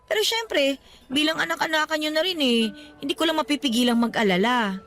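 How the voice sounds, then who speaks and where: Protective, earnest younger female role for radio drama, high voice over soft music. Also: animated, brisk. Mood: worried, probing. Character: caring, protective younger woman, indignant surrogate daughter. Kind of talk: Tagalog radio drama acting.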